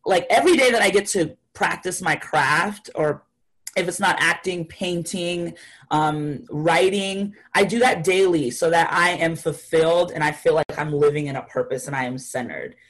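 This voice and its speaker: A woman's voice: lowish voice, moderate pace. A young woman from Haiti, a guest artist talking casually and thoughtfully in an interview, with many fillers.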